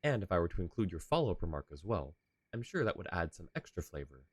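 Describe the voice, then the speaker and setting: A man's voice: low voice, very animated, fast talker, breathy edge, no echo, full character voice. A man voicing a polite, talkative young swordsman from a game, with a courtly and lightly playful manner. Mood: wry. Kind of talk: reading game dialogue in character. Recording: game music behind.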